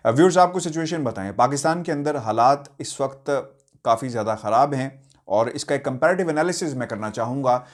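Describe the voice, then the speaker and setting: A man with a Pakistani accent hosting political news commentary, addressing viewers in a hesitant, halting way with deliberate emphasis on key words. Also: mid-range voice, expressive.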